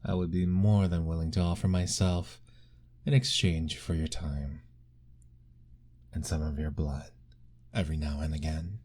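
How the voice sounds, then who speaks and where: A man doing a deep, courtly vampire lord. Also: little pitch movement, measured pace, pauses often. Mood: courtly, persuasive. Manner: formal, old-fashioned, gently pleading; soft, intimate, often whispered. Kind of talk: ASMR roleplay audio.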